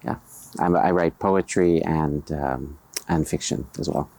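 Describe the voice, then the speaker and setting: A middle-aged American man, a writer, answering interview questions in a measured, hesitant way with many pauses and fillers. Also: extremely low pitch, creaky, a little mellow. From United States.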